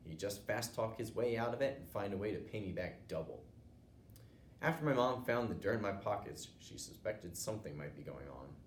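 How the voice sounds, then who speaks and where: American male storybook narrator, low voice, teacher reading aloud, recorded in an echoey room. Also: animated, normal speed. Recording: roomy echo, noticeable background noise.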